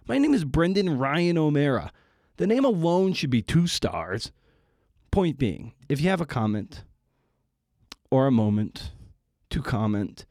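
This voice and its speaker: A man's voice: medium pitch, darkish tone, no echo, clean studio sound. A man hosting a podcast in a casual, scripted style, irreverent and playfully theatrical in his delivery. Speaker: podcast host.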